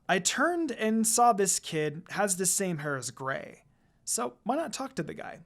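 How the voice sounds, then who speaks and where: A woman reading a first-person fan fiction story aloud in a casual, expressive, dramatized way. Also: medium pitch.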